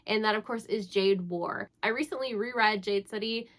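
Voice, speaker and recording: A woman's voice: medium pitch, normal speed, fairly bright tone. A young woman with a congested voice from the flu, chatting casually and upbeat to camera about her reading plans. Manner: chatty, upbeat, self-teasing. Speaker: book vlogger.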